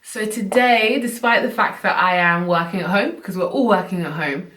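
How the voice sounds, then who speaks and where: A young woman vlogging from home while ill, her voice a little croaky and short of breath, talking in a chatty, earnest way. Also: mid-range voice, normal speed, a bit bright. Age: young adult. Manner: chatty, earnest, loose run-on phrasing. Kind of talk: casual talk to camera. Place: room at home. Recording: roomy, from a distance.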